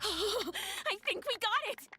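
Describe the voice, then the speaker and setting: A perky young actress character in a video game. She sounds theatrical and confident, with a high, very animated voice over faint music. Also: slow pace, heavily acted. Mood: upbeat.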